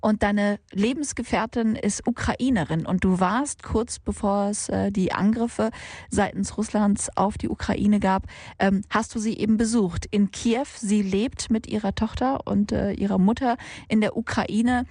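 A woman's voice: mid-range voice, husky, no echo. A woman hosting a Sunday radio talk show from the studio, interviewing a guest in a friendly, chatty way with frequent fillers. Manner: warm conversational, many fillers.

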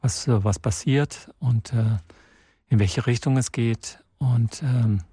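A man born in Portugal and raised in the Netherlands, guesting in a radio studio and answering haltingly with many restarts and fillers. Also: mid-range voice, warm tone, husky, dry recording. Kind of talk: radio interview.

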